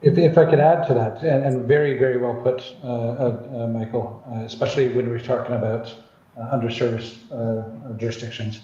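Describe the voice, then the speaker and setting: A man's voice: medium pitch, steady tone, normal speed, warm tone. A man from Zimbabwe on a tech panel who tells anecdotes, with many "uh" fillers. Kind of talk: panel discussion, storytelling. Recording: very echoey, dull-sounding room mic.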